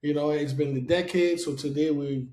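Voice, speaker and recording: A man's voice: on the high side, steady tone, moderate pace, mellow. A man from Haiti hosting a casual podcast chat, loose and rambling with lots of fillers. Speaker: podcast host. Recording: roomy echo.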